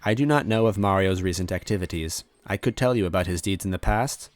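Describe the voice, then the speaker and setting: A man's voice: low voice, a little fast, dry recording, close to an everyday voice. A man voicing a lonely, apologetic old supercomputer in a story, speaking in formal, precise wording.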